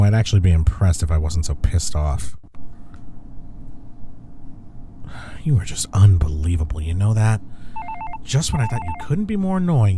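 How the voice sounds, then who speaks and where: A man acting as a cocky, sharp-tongued, flirtatious office rival in an intimate audio roleplay, with a smug, sarcastic way of talking. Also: low-pitched, slight background noise. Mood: irritated, incredulous. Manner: smug, sarcastic, drawn-out taunting.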